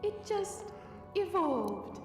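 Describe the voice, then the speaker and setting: A woman's voice: very high-pitched, very animated, very slow, exaggerated voice. A woman voicing a corrupted pony villainess who coos with false tenderness in an audio drama. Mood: coaxing. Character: rot-corrupted pony villainess, sweetly menacing impostor of a friend. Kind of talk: scripted audio drama acting. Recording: echoey hall reverb with music.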